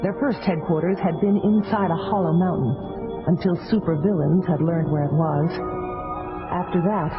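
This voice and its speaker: A male narrator reads a superhero storybook dramatically in a high voice. The recording is dull and lo-fi, with loud music behind him. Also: normal speed.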